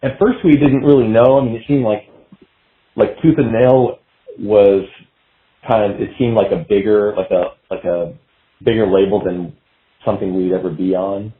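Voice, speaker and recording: Low-voiced guy rambling thru old band memories, kinda hesitant, muffled lo-fi audio. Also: normal speed. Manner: hesitant, meandering, filler-heavy. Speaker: guest musician, guitarist. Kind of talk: casual interview reminiscing. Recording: dull lo-fi roomy call sound.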